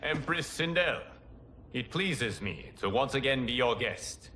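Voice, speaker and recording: A man's voice: medium pitch, lively intonation, measured pace, sharp tone, slight background noise. A man playing a wise, benevolent lord and mentor in a cinematic game, gracious, formal and reassuring. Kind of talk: cinematic video game acting.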